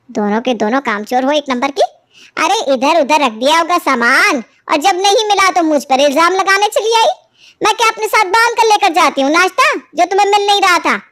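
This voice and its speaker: A woman's voice: very high voice, a bit bright, pitched above the performer's own voice. A woman voicing a stingy, scheming older mother-in-law in a cartoon family story, scolding and gossiping indignantly.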